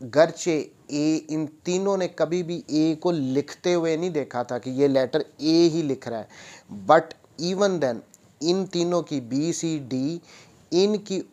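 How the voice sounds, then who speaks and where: A man with a Pakistani accent lecturing on a text, reading passages aloud and explaining them step by step in a deliberate, repetitive way. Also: a little high, a little mellow. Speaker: law lecturer.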